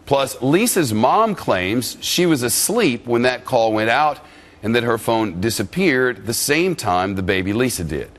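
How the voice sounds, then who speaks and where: A man's voice: medium pitch, big pitch swings, a little slow, a bit bright. A man anchoring a news program and moderating a panel in a blunt, skeptical, interjecting manner.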